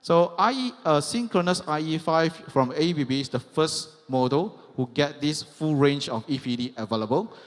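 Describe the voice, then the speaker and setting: A man's voice: on the high side, lively intonation, moderate pace, few pauses. A man giving a technical trade-show presentation, explaining step by step and checking in with "yeah" and "right". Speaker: conference presenter. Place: large exhibition hall. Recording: long hall reverb.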